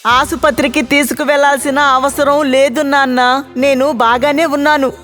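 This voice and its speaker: Woman doing a devoted male cartoon monkey, high voice, soft music under it. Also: steady tone, moderate pace. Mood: earnest. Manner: earnest, soft-spoken pleading. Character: loyal male pet monkey, gentle and devoted. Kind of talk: cartoon voice acting. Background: faint background music.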